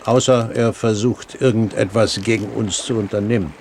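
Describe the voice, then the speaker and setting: A man acting a shrewd, calculating commander at sea in a 1970s radio drama, measured and dryly ironic. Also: fairly low pitch, fairly even intonation, a little mellow, a little hiss or hum, natural voice. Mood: coolly ironic.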